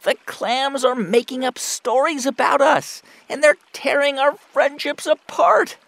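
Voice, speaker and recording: An actress playing an eager, earnest beaver with a creaky, expressive voice in a children's audio folktale. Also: female voice, sits high, a little slow, dry recording. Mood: rallying, determined. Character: eager, busy, earnest beaver, sociable and sincere.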